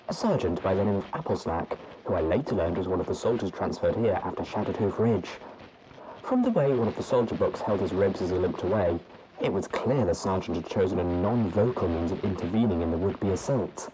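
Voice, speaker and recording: A man narrating a fan fiction audiobook in a measured, formal journal-reading manner. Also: low-pitched, background noise.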